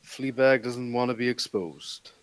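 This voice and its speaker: A man's voice: medium pitch, fairly even intonation, measured pace, slight background noise, natural voice. A man reading the part of a scruffy, skeptical monster hunter in his forties, with a sarcastic, wisecracking manner.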